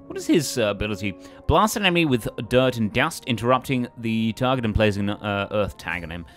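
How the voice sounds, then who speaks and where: A man's voice: lowish voice, animated, a little fast, fairly bright tone, faint music behind. A man casually thinking aloud as he commentates a video game. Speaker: let's play host.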